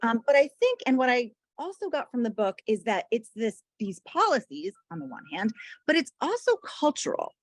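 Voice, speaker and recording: A woman's voice: a little high, very animated, normal speed, no echo. A woman co-hosting a podcast interview, building her questions conversationally with "right?" checks. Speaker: podcast co-host.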